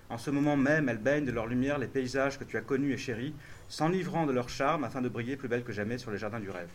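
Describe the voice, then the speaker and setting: A man's voice: medium pitch, slight background noise. A man translator speaking on a festival panel, answering audience questions with many restarts and fillers. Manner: hesitant, restarts often, thinks aloud.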